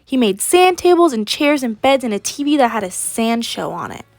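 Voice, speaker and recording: A woman's voice: on the high side, moderate pace, no echo. A young woman in her late teens reading a children's picture book aloud expressively. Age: teenager, high school senior. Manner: animated, acts out characters. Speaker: read-aloud storyteller.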